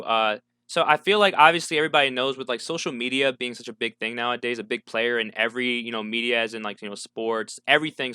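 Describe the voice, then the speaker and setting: A man's voice: mid-range voice, sharp tone, clean tone, no room sound, studio quality. A man co-hosting a casual interview podcast, asking long, meandering questions. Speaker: podcast co-host.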